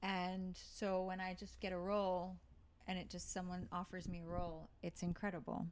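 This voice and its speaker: A woman actor chats through a relaxed interview in a slightly low voice. She thinks out loud, circling back with fillers and restarts as she goes. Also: normal speed. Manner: thoughtful, with frequent fillers and restarts. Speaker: actor and guest. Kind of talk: casual interview talk.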